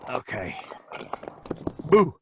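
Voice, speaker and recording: Brash hard-boiled crook narrator. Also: male voice, medium pitch, very animated, extremely slow, noisy background. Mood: impatient, teasing. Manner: slangy, hard-boiled tough talk. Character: brash, mocking male crook narrating his own tale. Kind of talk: old-time radio drama acting, first-person crime narration.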